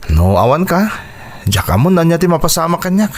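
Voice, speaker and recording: A man's voice: on the high side, big pitch swings, fairly quick. A man acting in an Ilocano radio drama as a determined, hardworking boxer in training. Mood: earnest, determined.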